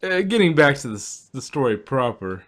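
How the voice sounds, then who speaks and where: A man's voice: medium pitch, big pitch swings, moderate pace. A man co-hosting a casual review podcast, rambling with plenty of fillers and wry asides. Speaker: podcast co-host.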